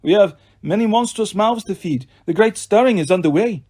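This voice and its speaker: A man's voice: high voice, expressive, moderate pace, darkish tone, pitched above the performer's own voice. A man voicing a menacing, smug monster villain who talks in a formal, taunting way for a story. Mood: ominous.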